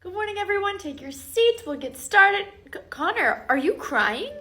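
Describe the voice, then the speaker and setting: Woman playing a bright-voiced, high-pitched sarcastic schoolteacher. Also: big pitch swings, normal speed, full character voice. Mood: concerned. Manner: dry, sarcastic, no-nonsense. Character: firm, sarcastic, exasperated female schoolteacher. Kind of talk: comedy skit dialogue.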